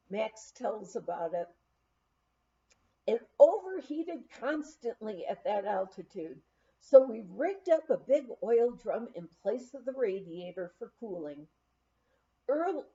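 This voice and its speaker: Slow, lively-pitched woman reading a memoir. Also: muffled recording. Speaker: audiobook reader. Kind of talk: scripted memoir narration.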